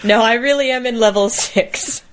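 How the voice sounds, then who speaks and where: A woman's voice: a little high, moderate pace, clean tone. A woman co-hosting a podcast in casual conversation, with a playful, teasing way of talking. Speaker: podcast co-host. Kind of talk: casual co-hosted chat.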